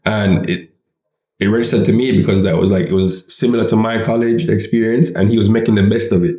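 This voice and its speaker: A man's voice: fairly low pitch, steady tone, a little fast, warm tone, reverberant. A man from Jamaica co-hosting a podcast, telling stories in an easygoing way, full of "yo" and "like". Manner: easygoing storyteller, uses "yo" and "like". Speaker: podcast co-host. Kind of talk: casual chat.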